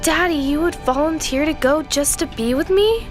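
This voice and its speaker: A woman playing an excitable young daughter who adores and longs for her father in an audio drama. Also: sits high, moderate pace, soft background music, natural voice. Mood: adoring.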